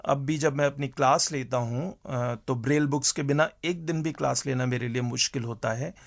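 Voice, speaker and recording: A man who works as a teacher and trainer, giving measured, explanatory interview answers with hesitant pauses. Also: mid-range voice, fairly even intonation, creaky, no room sound, clean studio sound.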